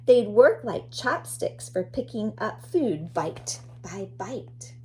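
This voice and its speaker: A woman's voice: mid-range voice, expressive, slow, warm tone, slight background noise. A woman teacher reading a children's nonfiction book aloud expressively, addressing young listeners.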